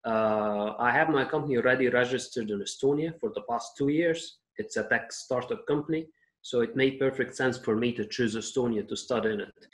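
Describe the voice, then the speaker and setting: A man's voice: mid-range voice, brisk. Earnest man telling his story. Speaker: medical doctor and startup founder. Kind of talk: casual interview answers.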